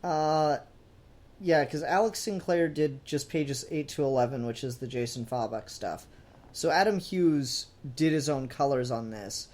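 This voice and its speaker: A man's voice: mid-range voice, a little slow, darkish tone. Male co-host, mid-range voice, casual review talk, hesitant and conversational. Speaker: podcast co-host. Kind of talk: casual comic book review discussion.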